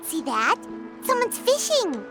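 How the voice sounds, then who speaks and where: A woman voicing a tiny, childlike, cheeky companion in a video game, excitable and cutesy in manner. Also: very high-pitched, takes it slowly, over loud music, full character voice. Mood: excited. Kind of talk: video game character acting.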